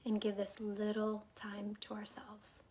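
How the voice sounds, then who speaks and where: Calm woman guiding a meditation. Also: medium pitch. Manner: calm, unhurried, soothing, soft phrases trailing into breathiness. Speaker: guided meditation teacher. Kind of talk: guided meditation, gentle instruction.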